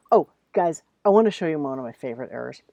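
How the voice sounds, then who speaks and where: A woman talks to students in a low, mellow voice. Her delivery is informal and conversational, with deliberate emphasis on key words. The sound is dry, with almost no room in it. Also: creaky, dry recording. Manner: emphatic, reads examples aloud deliberately, then comments plainly. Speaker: writing teacher. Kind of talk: casual instructional talk to students.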